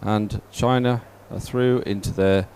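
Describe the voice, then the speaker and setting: A man's voice: lowish voice, steady tone, a little slow, a little mellow, slight background noise. A man hosting a live sports stream in an informal, somewhat hesitant way. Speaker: livestream host.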